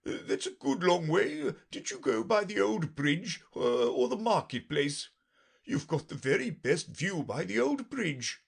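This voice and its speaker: A nervous old king from a storybook. The voice is pitched high and quivers, muttering and hesitating in a timid fluster. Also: wildly swooping pitch, unhurried, husky, full character voice. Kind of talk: storybook character reading.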